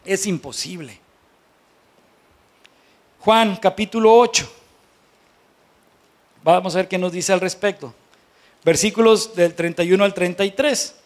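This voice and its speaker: A man's voice: sits high, a little slow, long pauses. A man with a Mexican accent preaching as a pastor in a conversational, blunt and colloquial way, leaning on rhetorical questions for emphasis. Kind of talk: conversational sermon to a congregation.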